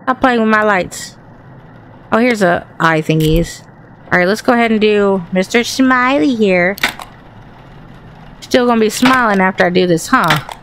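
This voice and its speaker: A woman with a Southern drawl gives raspy commentary on a horror game, talking back at the spirits. Her pitch sits in an ordinary middle range. A faint hiss sits under the desk-mic recording. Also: unhurried, long pauses, a bit bright. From Texas. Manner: talks to the game and its ghosts, jumpy and chatty. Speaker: gaming channel host.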